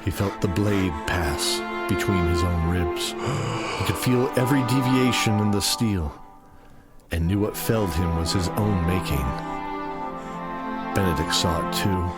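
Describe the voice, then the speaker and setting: Low-voiced male dramatic narrator over music. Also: measured pace. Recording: loud music bed behind.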